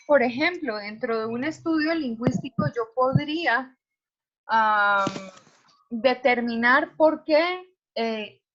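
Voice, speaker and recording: A woman teacher giving a lecture to her students, explaining slowly with many examples and small fillers. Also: on the high side, a bit bright, muffled recording.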